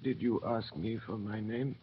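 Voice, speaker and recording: An actor playing a bewildered, anguished old prisoner in a mid-century radio drama, halting and dazed, repeating himself. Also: male voice, lowish voice, husky. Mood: dazed, feeble. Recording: muffled old radio broadcast.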